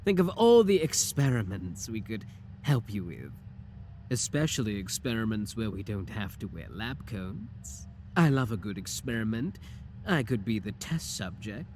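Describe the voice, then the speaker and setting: A man's voice: fairly low pitch, big pitch swings, moderate pace, a little mellow, a little hiss or hum. A man playing a flirty, swooping little clone scientist. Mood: excited, lewd. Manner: suggestive, teasing, theatrical. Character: flirty, shameless tiny male clone scientist, playful and lewd. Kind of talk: ASMR audio roleplay acting.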